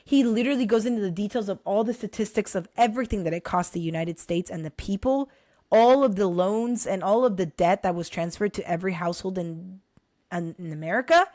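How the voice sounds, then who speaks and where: Hoarse young woman vlogging, dry sound. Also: mid-range voice, brisk, no echo. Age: young adult. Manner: animated, addresses viewers as guys, many restarts. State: hoarse, recovering from illness. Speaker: vlogger recommending books. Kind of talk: casual direct-to-camera talk.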